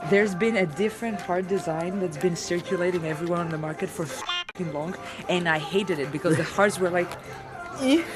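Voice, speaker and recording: Animated young woman chatting with music. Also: fairly low pitch, moderate pace. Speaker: friend of the host. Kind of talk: casual chat.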